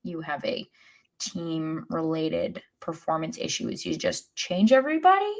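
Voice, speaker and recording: A woman's voice: deep voice, very animated, unhurried, gravelly. A woman co-hosting a podcast, giving casual sports analysis in a wry, blunt, critical way. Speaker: podcast co-host.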